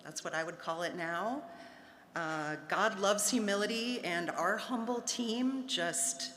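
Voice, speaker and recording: Slow-speaking woman in an echoey hall. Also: mid-range voice, a bit bright. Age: 50s. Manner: heartfelt, with light humorous asides. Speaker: former player giving an induction speech. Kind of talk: prepared ceremony speech. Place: large hall at an awards ceremony. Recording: echoey hall with faint ambience.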